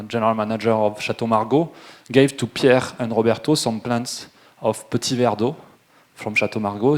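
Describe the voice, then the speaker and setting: A middle-aged French man with a French accent, presenting at a wine seminar in a halting way, with many fillers and restarts. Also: medium pitch, a little mellow. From France. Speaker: winemaker. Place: event room with a live audience.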